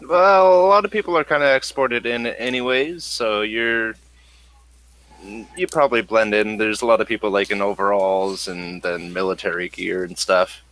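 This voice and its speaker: A man narrating a tabletop role-playing game as game master, improvising in a halting, conversational way. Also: on the low side, fairly bright tone, low-quality recording.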